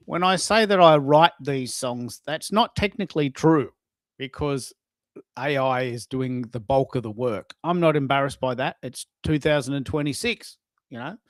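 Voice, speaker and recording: A man's voice: mid-range voice, no room sound. A middle-aged man hosting a live audio show, chatting casually and rambling, repeating words for emphasis. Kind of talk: casual live-stream chat.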